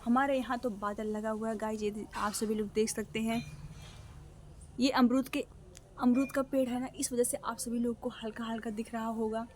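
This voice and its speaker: A woman's voice: a little high, flat delivery, normal speed, darkish tone, a little hiss or hum. A young woman from Ambedkar Nagar, chatting casually to her vlog viewers outdoors by a guava tree, recorded on a phone and repeatedly addressing them as guys. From Ambedkar Nagar, Uttar Pradesh, India. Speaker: vlogger.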